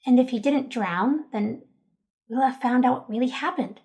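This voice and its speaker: A woman's voice: high voice, moderate pace, a little mellow. A woman playing an anxious yet hopeful, loyal teenager in an audio drama, speaking earnestly and tentatively. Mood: cautiously hopeful.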